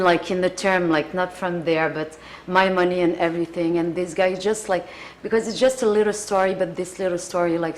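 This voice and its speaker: Earnest French woman, lowish voice. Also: slight background noise. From France. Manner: earnest, searching for words, many "like" fillers. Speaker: singer, interview guest. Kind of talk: casual interview answers.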